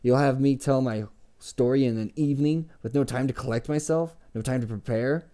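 Man voicing a curt, bitter innkeeper, dark-toned. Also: fairly low pitch, normal speed, close to an everyday voice. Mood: bitter, snapping. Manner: curt, cool, commanding. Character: guarded, bitter, sarcastic male innkeeper.

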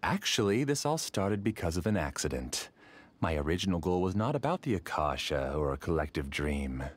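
An actor playing a formal, self-assured, obsessive scholar who rules a dream world in an anime game. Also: male voice, low-pitched, sing-song, normal speed, husky, heavily acted. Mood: proud, fervent. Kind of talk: anime video game voice acting.

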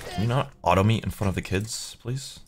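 A man's voice: lowish voice, a little fast, noisy background. A young man giving casual, jokey gaming commentary, slangy and sweary, talking to himself and his viewers. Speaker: video game streamer.

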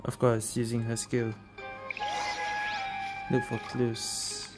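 A man's voice: medium pitch, completely flat delivery, slow. A man giving casual gameplay commentary, thinking aloud in short fragmented remarks. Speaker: gaming streamer. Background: game music.

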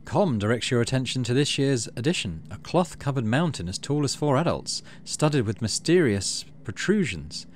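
Man with very animated pitch, gaming. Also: normal speed, few pauses, a little hiss or hum. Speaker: gaming video host. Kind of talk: casual game commentary and expressive read-aloud narration.

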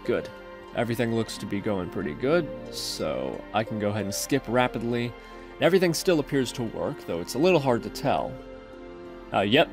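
A man with a medium-pitched voice narrates a screen-recorded tutorial. He talks through each step informally, like a chatty host explaining his own work. Music plays clearly behind his voice.

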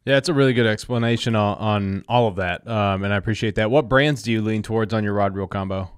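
Young male podcast host, smooth, dry close-mic sound, relaxed, friendly. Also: on the low side, fast talker, dry recording. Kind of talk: casual interview hosting. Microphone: studio mic with headset.

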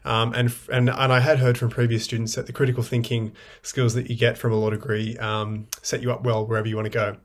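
A man's voice: medium pitch, little pitch movement, fast talker, hardly any pauses. Thoughtful young man, full of ums. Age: 20s. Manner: thoughtful, hesitant with many ums. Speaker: university student. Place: room with window blinds.

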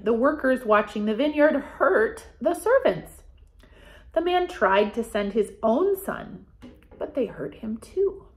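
An American woman in her forties leading a children's Bible storytime as pastor, speaking in simple, deliberate phrases meant for young children. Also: on the high side, darkish tone. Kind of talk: children's storytime, reading and explaining. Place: small office, indoors.

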